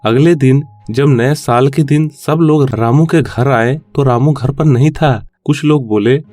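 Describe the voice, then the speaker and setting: A man's voice: medium pitch, a little slow, a little mellow, no room sound. Male narrator for a children's moral tale, mid-range voice, light music underneath. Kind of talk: scripted children's story narration.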